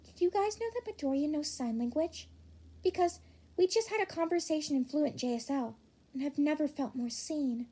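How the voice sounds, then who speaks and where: Woman voicing a high, timid teenage boy. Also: moderate pace, mellow, close to an everyday voice. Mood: touched.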